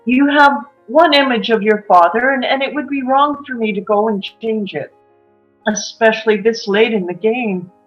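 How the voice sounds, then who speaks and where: A woman playing a guarded, wistful small-town woman who confides old secrets haltingly in a drama table read. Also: a little high, close to an everyday voice. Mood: hesitant, conflicted. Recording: muffled, music underneath.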